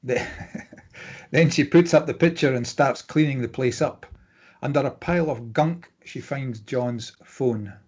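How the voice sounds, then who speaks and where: A man's voice: mid-range voice, a little slow, a little mellow, breathy edge. A man reading stage directions aloud as scripted narration at an informal drama table read.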